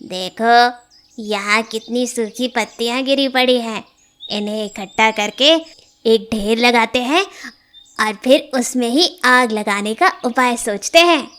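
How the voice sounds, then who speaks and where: A woman voicing a foolish, excitable forest monkey in a children's story, with a bossy, exclaiming manner. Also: a little high, takes it slowly. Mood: scheming.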